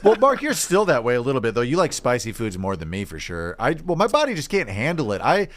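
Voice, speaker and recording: An American man chatting casually on a comedy podcast. His pitch swings widely as he tells stories and acts out the remarks, with some offhand swearing thrown in. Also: huge pitch swings, fast. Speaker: podcast co-host.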